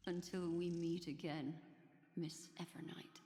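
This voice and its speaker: Woman as a low-voiced, cool, defiant heroine. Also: level tone, dark tone, slight background noise, lightly acted. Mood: calm defiance. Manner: measured, formal, cool. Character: composed, defiant young woman, quietly sardonic. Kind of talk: scripted video game voice acting. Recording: long reverb with music behind.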